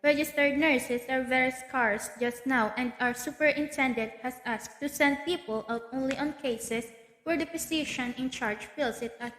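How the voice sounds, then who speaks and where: Radio play role: unhurried, officious hospital receptionist, played by a woman, high voice, formal delivery, heavy echo. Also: a bit bright. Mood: polite, officious. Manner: formal, unruffled. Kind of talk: amateur school radio play acting. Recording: echoey hall-like reverberant recording.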